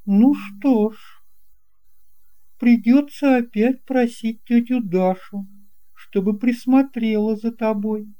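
A kindly, practical mother from a storybook, played by a woman reader. Her voice sits fairly high. She gives instructions in a matter-of-fact way. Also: slow, dark tone. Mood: accepting. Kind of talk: audiobook character voice.

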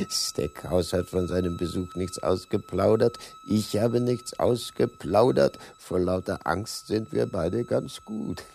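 An actor playing a sly, guarded shopkeeper suspected of murder in a German radio drama, sardonic and deliberate. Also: male voice, mid-range voice, wildly swooping pitch, gravelly, mellow, a little hiss or hum, heavily acted. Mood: sardonic, defiant.

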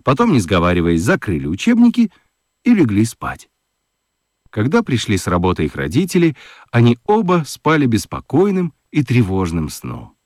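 A man narrating a scripted children's story for a radio play, expressive and fond of dramatic pauses. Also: mid-range voice, dry recording, studio quality.

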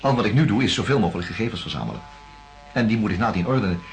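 An actor playing a patient, cautious, kindly doctor, speaking in a measured, slightly hesitant way in a radio drama. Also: male voice, lowish voice, expressive. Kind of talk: naturalistic radio drama dialogue.

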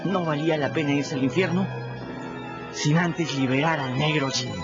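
An actor with a Peruvian Spanish accent playing a quick-witted young boy who tells his own story in a folksy first-person manner in a radio drama. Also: on the high side, loud music behind the voice, close to an everyday voice. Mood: storytelling. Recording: dull lo-fi radio recording.

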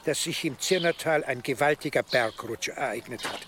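A man's voice: mid-range voice, natural voice. Guy doing a shrewd commanding church prince, radio play, noticeable background noise. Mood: dry, decided. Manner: measured, authoritative. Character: lordly, shrewd male archbishop granting favours. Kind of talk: German radio drama acting.

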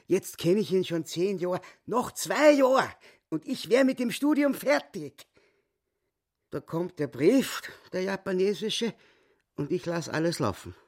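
An actor playing a boastful, cunning little dwarf crook in a radio play, with a Viennese accent and a croaking, wheedling voice. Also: male voice, sits high, sing-song, measured pace, heavily acted. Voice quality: raspy, croaking. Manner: wheedling, bragging storyteller.

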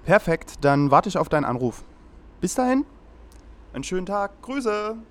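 A man's voice: sits high, big pitch swings, normal speed. Man playing a chatty, eccentric handyman. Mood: chatty, agreeable. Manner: hesitant, thinking aloud. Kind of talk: German audio play, conversational.

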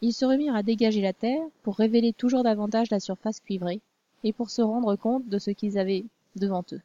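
A woman narrating a story in a measured, storytelling manner. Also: mid-range voice, steady tone, dark tone, lo-fi sound. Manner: measured storytelling, also voices the princess. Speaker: audiobook narrator.

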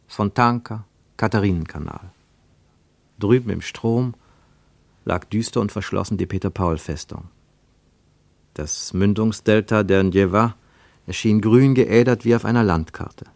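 A man reading scripted literary narration for radio in a measured, expressive way, with deliberate emphasis. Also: lowish voice, vocal fry, no room sound. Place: dry radio studio.

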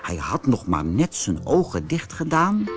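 A man narrating a children's fairy tale audiobook as an expressive, scripted storyteller. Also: medium pitch, a little slow, over music.

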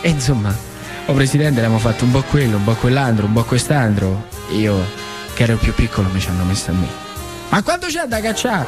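A man with a central Italian accent from northern Lazio, performing an animated, colloquial theatre monologue in working-class dialect, repeating phrases for emphasis. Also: fairly low pitch, fast talker. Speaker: actor and storyteller. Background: music.

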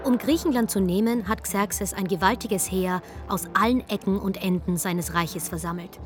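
A woman narrating a comic radio play as a wry, matter-of-fact storyteller with a deadpan manner. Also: mid-range voice, normal speed, lightly acted. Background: music.

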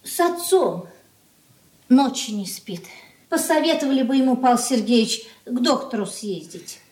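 A woman's voice: on the high side, big pitch swings, normal speed, a little mellow. An actress playing a meek, worried older merchant's wife and mother in a radio drama, plain-spoken and plaintive. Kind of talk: Soviet radio drama stage acting. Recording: roomy echoing studio recording.

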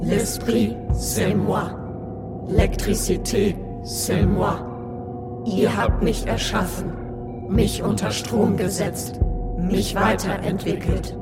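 A man's voice: sits high, fairly even intonation, slow. An actor playing an all-knowing male Machine that proclaims its own greatness in a radio play. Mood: grandiose. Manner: proclaiming, self-glorifying. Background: loud music with gong tones.

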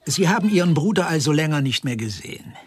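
An actor playing a methodical police detective in a radio play, questioning in a probing, matter-of-fact way. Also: male voice, on the high side, big pitch swings, fast talker, breathy edge, dry recording.

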